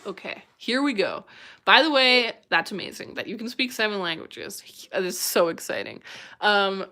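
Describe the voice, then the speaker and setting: A woman's voice: medium pitch, very animated, moderate pace, pushed and bright. A young woman hosting a livestream, talking in an effusive, enthusiastic way about viewer submissions. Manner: effusive and enthusiastic, gushing over what she reads. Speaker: livestream host. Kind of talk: live-streamed chat reading viewer submissions.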